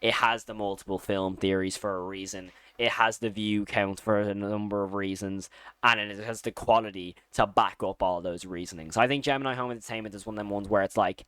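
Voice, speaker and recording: A man's voice: on the low side, fairly even intonation, a little fast, a bit bright, clean tone, dry recording. A man giving casual, opinionated commentary as a video host, talking in an informal, slangy and enthusiastic way. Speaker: YouTube host ranking horror series.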